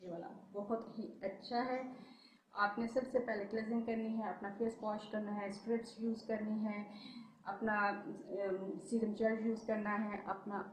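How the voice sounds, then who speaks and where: A woman's voice: mid-range voice, level tone, unhurried, roomy sound, a little hiss or hum, low-quality recording. A woman with a sore throat, chatting casually through a makeup haul as a beauty vlogger. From Pakistan. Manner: chatty, enthusiastic about products. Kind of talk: casual product review.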